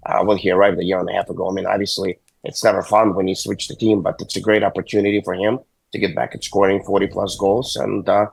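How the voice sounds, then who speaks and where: A hoarse, sick man calls in from a hospital bed, his voice low and gravelly with a husky edge. He talks haltingly, full of fillers and restarts, and the sound is dry and close. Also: little pitch movement, dry recording, low-quality recording. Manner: halting, many uhs and restarts. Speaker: hockey agent guest. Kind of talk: phone-in interview. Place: hospital room. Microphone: phone call.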